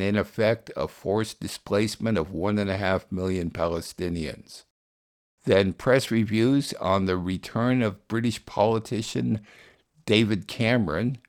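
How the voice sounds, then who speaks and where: A man reading scripted narration as the host of a radio programme. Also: lowish voice.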